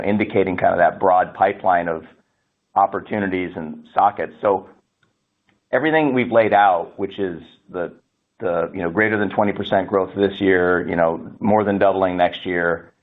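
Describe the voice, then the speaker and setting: A man speaking as a company executive answering analyst questions on an earnings call, halting and repeating words as he builds his answers. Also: deep voice. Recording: phone-like narrowband conference call audio.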